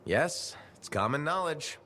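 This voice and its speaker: An actor playing a dry, sceptical freelance pilot in a video game, terse with deadpan wit. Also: male voice, on the high side, measured pace, heavily acted. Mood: unimpressed. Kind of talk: video game cutscene dialogue.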